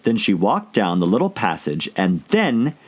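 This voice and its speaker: A man's voice: lowish voice, wildly swooping pitch, normal speed. A man reading a storybook aloud as an audiobook narrator, in scripted narration.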